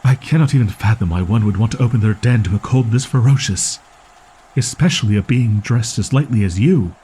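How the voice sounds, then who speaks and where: A man's voice: mid-range voice, brisk, warm tone, slightly rough. A man voicing a proud, easily flustered young wolf-boy in a roleplay audio drama, with stilted archaic diction and defensive stammering. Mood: guarded, proud. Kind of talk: roleplay audio drama monologue addressed to listener.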